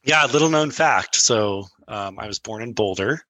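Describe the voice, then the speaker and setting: A man's voice: fairly low pitch, lively intonation, normal speed, pushed and bright, no echo. A man speaking as a podcast guest in loose casual chat, full of fillers and restarts. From Brazil. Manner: rambling, many fillers and restarts.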